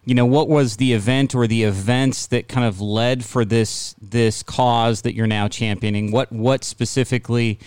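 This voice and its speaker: A man's voice: on the low side, a little mellow, clear, resonant tone, no echo. A man hosting an interview show in a conversational style. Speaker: podcast host.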